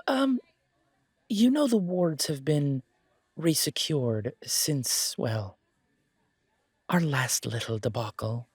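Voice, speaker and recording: High pitched fussy elfy shopkeeper for audio rp, swoopy, prim, stammery, cheerful. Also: male voice, darkish tone, slightly rough, no room sound, studio quality, heavily acted. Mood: anxious, cautioning. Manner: prim, polite, fluttery and stammering. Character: fussy, chirpy pointy-eared male shopkeeper, cheerful optimist. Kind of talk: audio roleplay acting.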